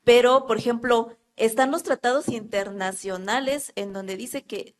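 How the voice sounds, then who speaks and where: Woman, Mexican accent, fairly high pitch, audience question, earnest, hesitant, many fillers. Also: measured pace. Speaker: audience member asking a question. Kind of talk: question from the floor at a public talk.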